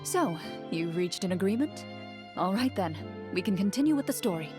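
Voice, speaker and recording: A woman playing a composed storyteller who narrates a fantasy tale with measured phrasing in a video game. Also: mid-range voice, animated, over loud music, natural voice. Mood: composed, narrating.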